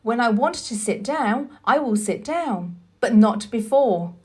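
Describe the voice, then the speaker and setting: A woman reading a children's storybook, voicing a sickly, imperious, excitable boy in an emphatic, commanding manner. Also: fairly high pitch, a little slow. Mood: determined.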